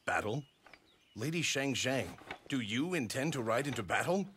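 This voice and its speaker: An actor playing a noble, idealistic warlord in a video game, speaking in a solemn, earnest way. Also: male voice, medium pitch, expressive, normal speed, fairly bright tone, slight background noise, natural voice. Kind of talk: English-dubbed video game cutscene acting.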